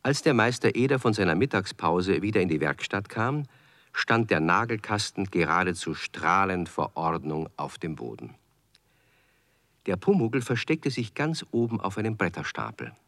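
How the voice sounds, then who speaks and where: A man narrating a children's radio play as a storyteller, with expressive, clearly phrased scripted delivery. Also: low-pitched, moderate pace, no echo, studio quality, exaggerated voice. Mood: calm storytelling. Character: male storyteller of a children's tale.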